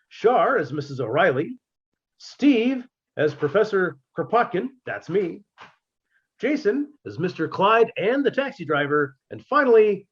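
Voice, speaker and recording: Husky guy doing old-time radio announcer intros, bouncy pitch. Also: fairly bright tone, highs cut off. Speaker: announcer and organizer. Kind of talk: mock 1950s radio announcing.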